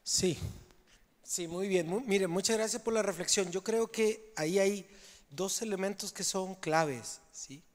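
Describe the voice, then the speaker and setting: A man with a Mexican accent speaks at a conference in a conversational, explanatory way, often checking in with the audience. His voice sits a little high for a man, and long reverb from the large hall trails after each phrase. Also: unhurried. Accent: Mexican Spanish. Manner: conversational, tags phrases with "¿sí?" and fillers. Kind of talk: conference Q&A answer.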